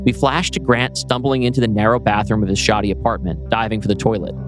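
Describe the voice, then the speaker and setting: A man's voice: lowish voice, fairly even intonation, fast talker. A man narrating a screenplay dramatically as its writer, presenting stage directions and scene changes. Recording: music playing behind voice.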